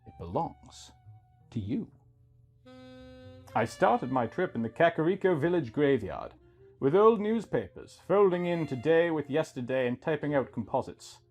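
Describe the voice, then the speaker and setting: A man reads a scripted first-person story as its narrator. His voice sits somewhat high and moves up and down in a very animated way. Music plays faintly underneath him. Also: a little slow.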